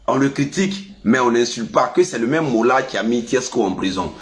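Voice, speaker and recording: Ivorian man scolding with a stuffy cold. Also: fairly quick. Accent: Ivorian French. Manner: lively, scolding, animated pitch jumps. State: has a cold. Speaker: vlogger. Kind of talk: casual monologue to camera.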